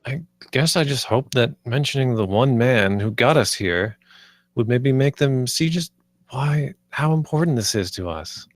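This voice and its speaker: A man's voice: mid-range voice, lively intonation, measured pace, dry recording, lightly acted. A man playing an earnest, idealistic theme park planner in a screenplay table read, a little hesitant. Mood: defensive, earnest. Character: earnest, idealistic male theme park planner named Marty, nervous, visionary.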